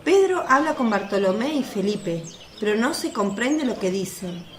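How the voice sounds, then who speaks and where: A woman's voice: a little high, sing-song, moderate pace. A woman reading scripted narration for a devotional radio play in Spanish-language storytelling style. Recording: echoey, faint noise with birdsong. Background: birdsong and outdoor ambience.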